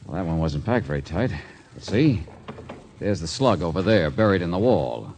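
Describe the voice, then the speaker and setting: An actor playing a brisk, cool-headed private investigator in an old-time radio drama. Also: male voice, low voice, sing-song, darkish tone. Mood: cool, matter-of-fact. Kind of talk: 1940s radio detective acting. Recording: faintly noisy old radio recording.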